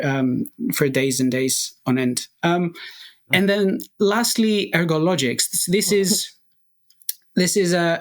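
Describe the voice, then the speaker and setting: A young man, a Greek native speaker, explaining ideas as a podcast guest, thoughtful and full of fillers and restarts. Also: fairly high pitch. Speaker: guest researcher and musician. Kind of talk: technical podcast interview.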